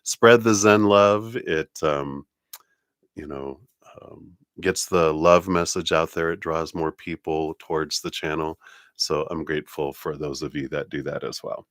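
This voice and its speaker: A low-pitched man with a congested, nasal sound talks to viewers in a friendly, meandering way, full of ums and uhs. The recording is dry and close, with no room around it. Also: fairly even intonation, a little slow, no room sound. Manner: gentle, friendly, meandering with many fillers. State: congested, nasal from a stuffy nose. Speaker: tarot reader hosting a live stream. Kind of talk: casual live-stream talk to viewers.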